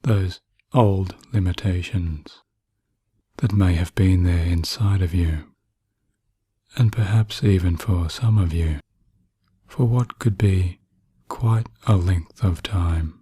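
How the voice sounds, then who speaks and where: A man narrating guided sleep hypnosis in a soothing, hushed manner with long pauses. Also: low-pitched, slightly rough, no echo, clean studio sound.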